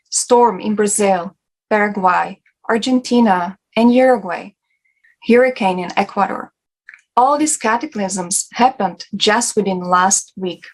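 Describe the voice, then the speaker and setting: A woman's voice: medium pitch, low-quality recording. A woman co-hosting an international online discussion in a scripted presenting style.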